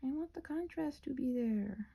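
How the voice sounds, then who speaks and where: Female ASMR rambler, husky with creaky fry, casual and self-mocking, breathy delivery that often sinks toward a whisper. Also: high voice, lively intonation, moderate pace, dark tone. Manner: self-mocking, playful asides to herself. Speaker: ASMR creator painting a craft while rambling.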